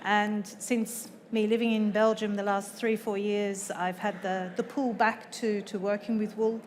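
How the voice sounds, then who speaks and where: An Australian woman introducing herself on a conference panel, speaking haltingly and correcting herself. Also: mid-range voice, flat delivery, darkish tone, a little hiss or hum. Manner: halting, self-correcting speech. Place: conference hall. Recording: long hall reverb.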